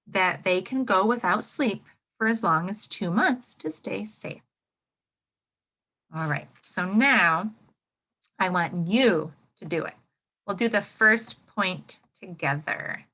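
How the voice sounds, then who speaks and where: A woman with a bright, clear-cut tone speaks as an elementary teacher to young children. Her pitch swings widely and she takes her time, leaving room for answers. She prompts with questions and encourages in an exaggerated, child-friendly way. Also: rough recording. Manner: exaggerated, child-directed expressiveness. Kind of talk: interactive read-aloud lesson for young children.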